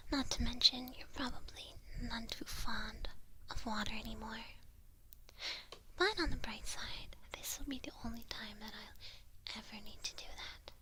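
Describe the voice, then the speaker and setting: A woman playing a doting, teasing witch in a softly whispered ASMR roleplay. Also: on the high side. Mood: gentle, apologetic. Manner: slow, soft, gently teasing. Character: teasing, doting female witch tending her new cat familiar.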